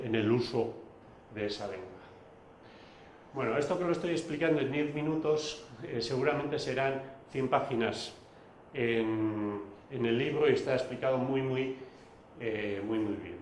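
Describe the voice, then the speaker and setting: A man whose first language is Basque, giving a recorded video lecture, pausing often with fillers and restarts. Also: medium pitch, echoey room, slight background noise, rough recording. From Basque Country. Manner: hesitant, many fillers and restarts.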